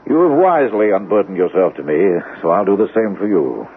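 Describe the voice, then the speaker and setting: An actor playing a courteous, probing male doctor in a radio mystery drama, measured and soothing with deliberate hesitations. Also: lowish voice, big pitch swings, breathy edge, full character voice. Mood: thoughtful, confiding. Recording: muffled, narrow-band, noisy old radio broadcast.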